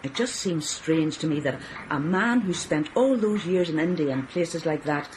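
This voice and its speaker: An actress playing a middle-aged wife, questioning and quietly disapproving, in a radio drama. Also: female voice, low-pitched, animated, moderate pace, a little hiss or hum, rough recording. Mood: suspicious, reproachful.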